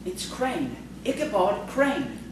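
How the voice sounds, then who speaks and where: A woman's voice: mid-range voice, very animated, slow pace. A woman playing a polite, timid, bookish male schoolteacher in audio theatre, hesitant and prim. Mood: nervous, courteous. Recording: distant, echoey, noisy stage recording.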